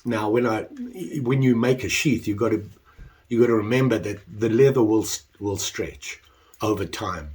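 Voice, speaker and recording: A man's voice: on the low side, moderate pace, darkish tone. An older man talking casually about his leatherwork, congested while getting over the flu, self-deprecating and rambling. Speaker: leatherwork vlogger. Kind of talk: casual workshop chat.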